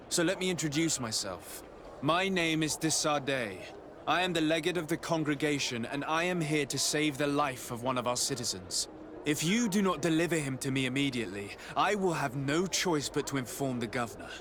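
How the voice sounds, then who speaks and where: An actor playing a calm, authoritative young nobleman and diplomat in a video game. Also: on the high side, brisk, natural voice. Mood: firm. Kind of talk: video game cutscene acting. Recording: clear background ambience noise.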